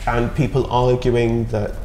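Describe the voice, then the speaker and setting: A man in his thirties, a fashion journalist on a studio panel, giving firm, measured criticism with frequent restarts. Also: medium pitch, completely flat delivery, mellow. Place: bright studio set, roomy. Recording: echoey studio room, faint hiss.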